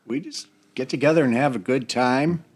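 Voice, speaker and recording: A man's voice: mid-range voice, normal speed, breathy edge. An older American man chatting casually as a guest, with a dryly joking manner. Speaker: podcast guest. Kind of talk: casual chat.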